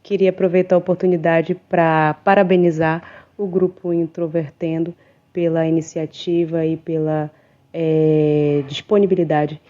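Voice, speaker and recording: Low, dark-voiced woman on lo-fi message. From Amapá, Brazil. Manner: formal, thankful. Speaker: psychologist leaving a recorded message. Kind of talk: recorded message.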